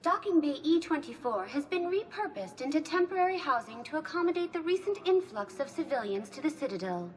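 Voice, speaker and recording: A woman's voice: high-pitched, big pitch swings, brisk, hardly any pauses. A woman playing a courteous synthetic information assistant in a video game, speaking in scripted, official announcements. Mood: courteous, informative.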